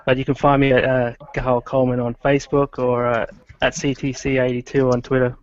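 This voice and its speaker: Flat-toned Australian-accented man. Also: mid-range voice, a little fast, dull audio, a little hiss or hum. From Ireland. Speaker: panel guest.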